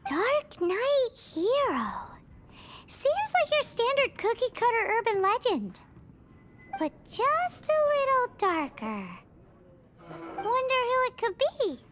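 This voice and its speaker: A very high, squeaky little fairy companion from a video game, blunt and cheeky. The delivery swings up and down in pitch with perky, exclamatory nosiness, and music plays behind it. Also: female voice, very animated, slow pace, a bit bright, exaggerated voice. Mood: curious. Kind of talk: video game cutscene acting.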